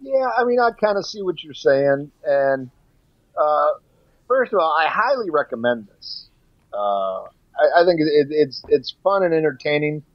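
A man's voice: a little high, sing-song, unhurried, no room sound. Blunt, sweary man on a slightly dull podcast mic. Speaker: podcast co-host reviewing a music documentary. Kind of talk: casual chat.

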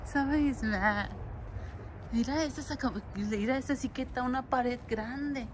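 A woman's voice: sits high, moderate pace. A woman chatting casually on a walking vlog outdoors on a handheld phone, switching between Spanish and English. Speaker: vlogger. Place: outdoors at stone ruins and a park path. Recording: noisy outdoor phone recording.

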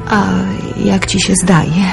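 An actress playing a tender, anxious young woman in love in a radio drama, husky and intimate. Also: medium pitch, fairly even intonation, slow pace, music in the background. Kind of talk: Polish radio drama acting.

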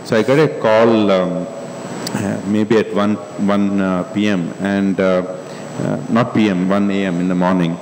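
A middle-aged man on a stage panel, speaking calmly and in a measured way into a handheld mic. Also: on the low side. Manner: calm, measured explaining. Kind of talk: panel discussion. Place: large stage hall. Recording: echoey hall with faint hum.

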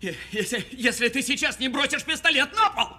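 An actor playing an insecure, flustered police officer who threatens defensively and stammers in an audio drama. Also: male voice, very high voice, lively intonation, fairly quick, bright, forceful tone, exaggerated voice. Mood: alarmed. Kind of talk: naturalistic audio film drama acting.

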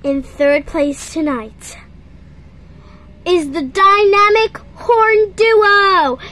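A young girl performing every voice in a playful toy talent-show skit, bubbly and excitable. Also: extremely high pitch, extremely slow. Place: indoors at home, small room.

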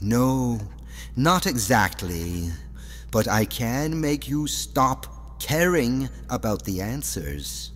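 A man's voice: medium pitch, very animated, slow pace, slight background noise. An actor playing a sly, elderly mad professor in an audio drama, theatrical and insinuating as he coaxes. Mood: coaxing. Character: sinister elderly male mad professor, sly, coaxing manipulator. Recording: long reverb, music underneath.

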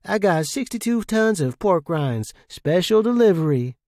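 A man's voice: high voice, sing-song, measured pace, a little mellow, dry recording, clean studio sound, voice pushed up, exaggerated voice. A man voicing a teasing, playful female space freighter captain in a story.

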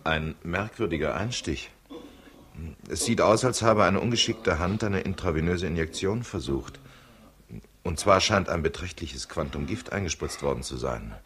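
Bookish junior doctor, radio play: bass voice, pedantic and respectful, slight background noise. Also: male voice, lively intonation, moderate pace, fairly bright tone. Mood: earnest, scholarly. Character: deferential, learned young male junior doctor. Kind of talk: 1960s German-language radio crime drama acting.

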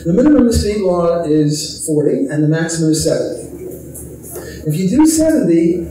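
A man's voice: a little high, sing-song, normal speed, warm tone, far from the mic, a little hiss or hum. An older man, an economist, giving an informal, chatty convention talk on a handheld mic, with wry, self-amused asides. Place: large hotel ballroom stage. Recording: echoey ballroom from the camera.

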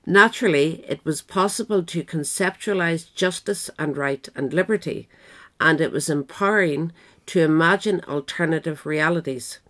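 A woman narrating a scripted political history essay aloud in a measured, formal manner. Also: deep voice, fairly bright tone. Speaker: audiobook reader.

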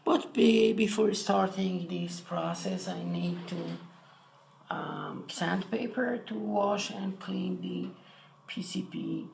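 Gravelly Iranian-accented man, halting and slow. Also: high-pitched. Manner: halting, short broken phrases. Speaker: electronics hobbyist presenter. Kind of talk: casual hands-on tutorial. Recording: roomy echo with faint noise.

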